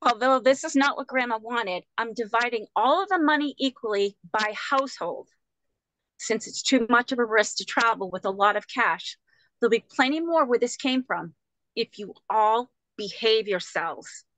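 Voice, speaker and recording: A firm, exasperated heiress keeping an unruly family in line, played by a woman at a table read. Her voice is slightly high and recorded very dry. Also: expressive, moderate pace, a bit bright, no room sound, low-quality recording. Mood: matter-of-fact. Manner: firm, no-nonsense.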